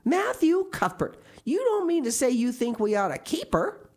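A woman voicing a stern, sharp-tongued older woman from a storybook, scolding in a brisk, curt, emphatic way. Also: sits low, lively intonation. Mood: indignant, wrathful. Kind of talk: storybook character reading.

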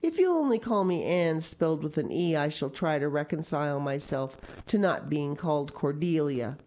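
A woman's voice: sits low, animated, normal speed, a little mellow. A woman voicing a dramatic, imaginative orphan girl of about eleven who speaks in gushing, theatrical outbursts. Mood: eager, wistful. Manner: gushing, theatrical, tearful pleading.

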